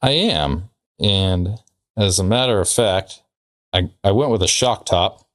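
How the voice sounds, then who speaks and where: A man's voice: low voice, measured pace, a bit bright, no echo, clean studio sound. A man co-hosting a podcast in casual, joking, conversational banter. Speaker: podcast co-host.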